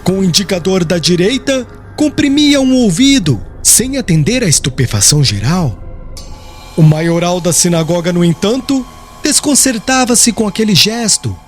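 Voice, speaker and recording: A man reading scripted audiobook narration as an expressive, solemn storyteller. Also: high-pitched, moderate pace. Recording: music behind the voice.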